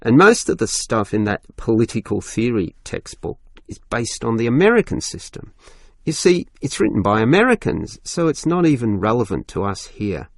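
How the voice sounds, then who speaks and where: Male student played by a man, young, blunt complainer, animated intonation with vocal fry, scripted delivery. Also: medium pitch, normal speed, no echo, studio quality. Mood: grumbling, blunt. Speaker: student in a listening test dialogue. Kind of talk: scripted exam dialogue acting.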